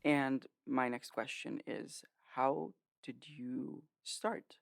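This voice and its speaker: A woman hosting a podcast interview, asking questions in a measured way. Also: extremely low pitch, steady tone, creaky, dry recording, studio quality. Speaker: podcast host.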